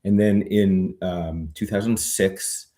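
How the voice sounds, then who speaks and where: A man's voice: low-pitched, steady tone, slow, mellow. A man being interviewed about his long legal career, talking in a reflective, meandering way with many fillers and restarts. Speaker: guest, retired immigration lawyer. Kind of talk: conversational interview.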